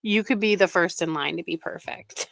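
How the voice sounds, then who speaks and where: A woman's voice: lowish voice, normal speed, vocal fry, fairly bright tone, no room sound. A woman giving casual, wry reaction commentary on a video, with critical asides. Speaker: reaction streamer.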